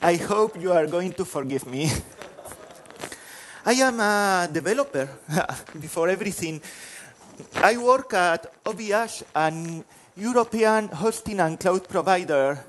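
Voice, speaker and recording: A man's voice: sits high, measured pace, slight background noise. A man in his forties from Spain with a Spanish accent, giving a conference talk on stage, self-deprecating, restarting phrases. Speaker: conference speaker. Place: large conference hall stage.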